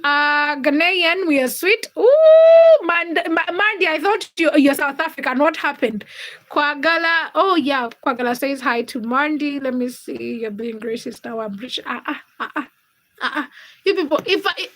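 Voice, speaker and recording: A woman's voice: high-pitched, lively intonation, normal speed, a bit bright. A young woman from Uganda and Kenya hosting a casual livestream, reading out viewers' comments and teasing them by name. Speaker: livestream host.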